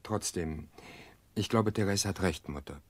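An actor playing a courteous, reserved widowed businessman in a German radio drama, speaking in a polite, measured way. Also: low-pitched, no echo. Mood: reflective.

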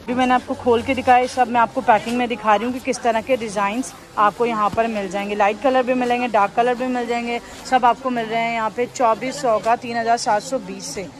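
A woman's voice: on the high side, steady tone, fairly quick, few pauses. A woman vlogger, walking through a clothing warehouse and talking upbeat, repeating fillers as she shows the stock. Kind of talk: shopping vlog walkthrough. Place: large busy clothing warehouse indoors. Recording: noisy store ambience.

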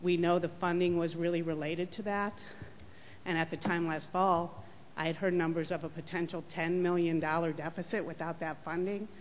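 Low, flat woman voicing budget concerns in a reverberant auditorium. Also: normal speed. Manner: concerned, steady, questioning. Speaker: community member giving public comment. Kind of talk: public comment at a school board meeting. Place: large auditorium. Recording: reverberant hall, faint room noise.

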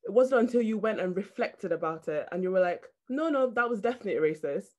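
A woman's voice: medium pitch, expressive, fast talker, a little mellow, lo-fi sound. A woman in her twenties from Scotland, born in Nigeria, giving a personal speech as a student over a video call. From Nigeria, grew up in Scotland. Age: 20s. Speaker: student society president, panel speaker. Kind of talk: personal speech at an online launch. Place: plain room indoors.

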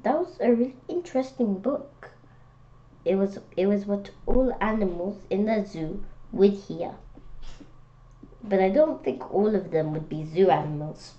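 A woman reading a picture book aloud for children in an expressive, playful way, sometimes halting on words. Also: mid-range voice. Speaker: children's read-aloud host. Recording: dull, lo-fi sound.

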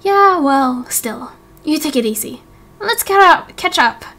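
A woman voicing an excitable, caring young sailor in a game roleplay, speaking earnestly and emphatically. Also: high voice, unhurried, heavily acted. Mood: protective. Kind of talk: Minecraft roleplay voice acting.

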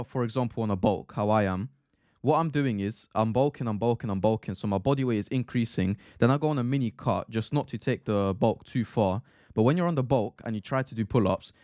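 A man's voice: medium pitch, a little mellow, no room sound, studio quality. A young man narrating his own gym vlog, explaining training in an earnest, instructive way and repeating phrases for emphasis. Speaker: fitness vlogger.

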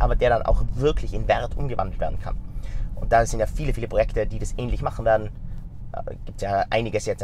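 A middle-aged man with a slight stuffy cold, talking straight to camera from inside a car, energetic and gesturing as he goes. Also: lowish voice, a little hiss or hum. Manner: energetic, gesturing with his hands, talks straight to the viewer. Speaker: vlogger and company founder. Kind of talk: casual talk to camera. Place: inside a car in city traffic. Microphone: phone camera mounted in the car.

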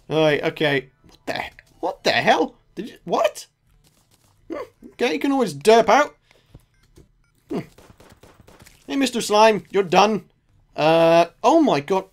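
A man with a sore throat commenting casually on his own gameplay, chatty and self-mocking, muttering asides to the game. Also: high voice. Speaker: gaming channel host. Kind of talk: casual let's play commentary.

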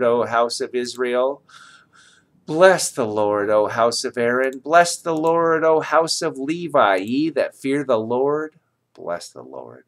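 Guy in his 60s reading the bible out loud, solemn and declamatory, medium pitch. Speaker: scripture reader. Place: small indoor room, office chair.

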